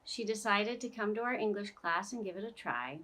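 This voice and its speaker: A woman's voice: mid-range voice, lively intonation, brisk, pushed and bright. A woman who teaches English as a second language tells a story about one of her students. She speaks steadily from a script, in an ordinary mid-range voice. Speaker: ESL teacher.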